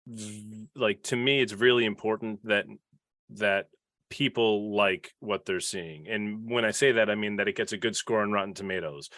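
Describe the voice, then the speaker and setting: A man's voice: lowish voice, fairly even intonation, moderate pace, fairly bright tone, dry recording. A man speaking earnestly and a little self-deprecatingly in a casual panel discussion, as a podcast panelist.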